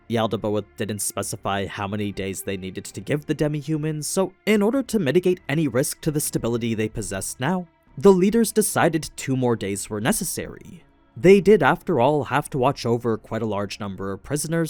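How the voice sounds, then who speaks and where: Man narrating with lively swinging pitch. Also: no echo. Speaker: narrator of an anime recap channel. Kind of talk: scripted video essay narration. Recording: voice-over with music underneath. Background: background music.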